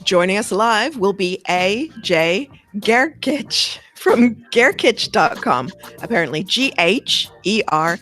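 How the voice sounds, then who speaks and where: A woman's voice: medium pitch, expressive, takes it slowly, few pauses, fairly bright tone, faint music behind. A woman with a Canadian accent, co-hosting a marketing podcast in a joking, chatty manner. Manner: joking, laughs often. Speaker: co-host. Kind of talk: casual podcast chat.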